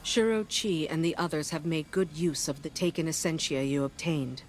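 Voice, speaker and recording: A woman's voice: low-pitched, moderate pace, slight background noise, natural voice. An actress playing a dry, wary, pragmatic female commander, giving businesslike video game mission briefings with a wry edge.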